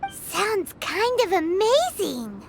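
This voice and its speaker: A woman voicing a tiny childlike fairy companion in a video game, cutesy, perky, curious and expressive. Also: very high-pitched, slow, gravelly, slight background noise, full character voice. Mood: amazed. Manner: cutesy, expressive, refers to herself in third person. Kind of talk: scripted video game cutscene acting.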